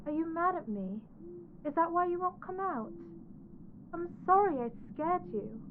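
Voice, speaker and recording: A woman voicing a lovestruck, clingy undead corpse bride in an ASMR audio roleplay, soft, earnest and pleading. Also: very high voice, animated, a little slow, phone-quality sound, slight background noise. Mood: apologetic, hurt.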